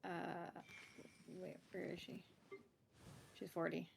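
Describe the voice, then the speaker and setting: A woman's voice: lowish voice, level tone, takes it slowly, gravelly, husky. A woman in casual gaming chat, thinking aloud in a tentative way as she goes.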